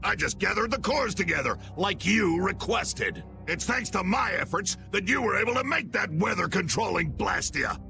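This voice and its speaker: Gravelly, insolent villain boss. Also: male voice, sits high, animated, normal speed, hardly any pauses, music in the background, heavily acted. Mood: sneering, defiant. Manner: sneering, defiant. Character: insolent, scheming male guild boss villain. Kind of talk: dramatic video game voice acting.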